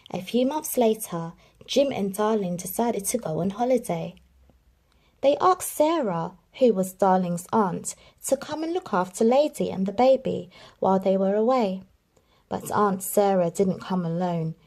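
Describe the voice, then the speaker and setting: Woman narrator for a children's read-aloud, expressive and animated, slightly breathy. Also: medium pitch. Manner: expressive storytelling with dramatic pauses. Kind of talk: reading a children's picture book aloud.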